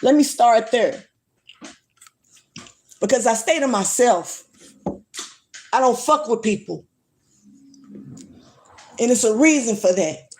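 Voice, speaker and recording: A woman's voice: a little high, expressive, takes it slowly, pauses often, a little mellow, a little hiss or hum. A woman, ranting bluntly and swearing freely in a casual livestream. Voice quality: strong voice. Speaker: livestream vlogger. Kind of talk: casual rant to camera.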